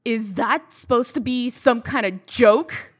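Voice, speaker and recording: A woman's voice: a little high, slow, slightly rough. A woman playing a stern, composed government official in a fairy-tale comic dub, formal and insistent. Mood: offended. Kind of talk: dramatic comic dub acting.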